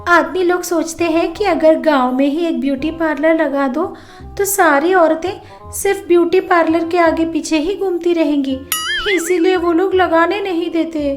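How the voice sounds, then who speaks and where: Timid young village wife, played by a woman, gossipy and excitable, very high pitched, storybook tale over music. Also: normal speed. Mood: chatty. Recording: music bed under the voice.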